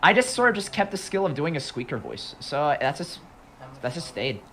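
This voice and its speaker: A man's voice: fairly high pitch, sing-song, normal speed, pushed and bright. A young man on a livestream chatting casually about voice acting, rambling and repeating himself with frequent laughs. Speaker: guest voice actor.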